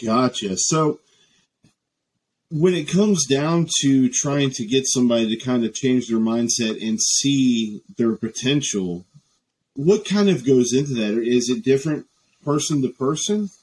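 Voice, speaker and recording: A man's voice: medium pitch, unhurried, a little mellow, poor audio. A man hosting a casual podcast, upbeat and often correcting himself as he introduces a guest. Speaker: podcast host.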